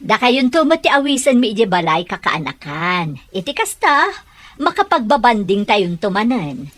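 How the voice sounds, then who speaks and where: An actress playing a caring middle-aged aunt in a radio drama, speaking in a kindly and reassuring way. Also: female voice, medium pitch, sing-song, moderate pace, a bit bright, no echo.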